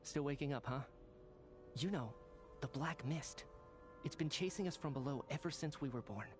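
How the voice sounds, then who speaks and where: An actor playing a resolute survivor leader in a video game, stern, philosophical and exhorting. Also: male voice, fairly high pitch, moderate pace, music in the background, lightly acted. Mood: urging, teasing. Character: resolute male survivor leader urging others onward. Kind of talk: dramatic video game voice acting.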